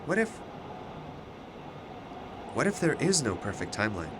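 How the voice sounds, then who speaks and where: A man's voice: mid-range voice, very animated, normal speed, background noise, close to an everyday voice. An actor in a radio drama playing an anxious but defiant young male university student, questioning with earnest emotion. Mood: challenging. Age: young adult.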